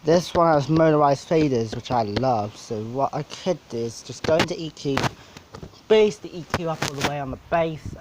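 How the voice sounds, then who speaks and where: A man giving a casual, unscripted equipment walkthrough as a DJ, rambling and halting, with informal, blunt wording. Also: a little high, background noise. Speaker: DJ presenting his PA setup.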